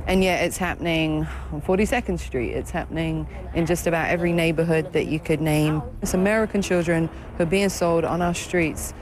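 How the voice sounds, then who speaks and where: A woman advocate speaks in a somewhat low voice, earnest and emphatic. Faint traffic noise sits behind her, and she sounds increasingly passionate. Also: a little mellow. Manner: earnest, emphatic, rising with conviction. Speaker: advocate, organization founder. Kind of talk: interview.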